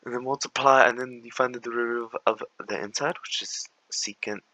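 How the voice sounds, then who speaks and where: A young man with a raspy voice, walking through calculus problems in a slangy, laid-back way and sometimes mumbling steps under his breath. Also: medium pitch, fairly even intonation, brisk, sharp tone, poor audio. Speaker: math tutor. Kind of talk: casual tutoring walkthrough.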